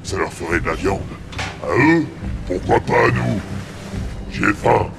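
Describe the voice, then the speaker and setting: Growling, bloodthirsty alien brute. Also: male voice, on the low side, animated, unhurried, loud music behind the voice. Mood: surly, hungry. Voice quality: very gravelly, growling. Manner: grumbling, menacing. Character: surly, hungry, bloodthirsty male alien brute grunt soldier. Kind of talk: dramatic video game cutscene acting.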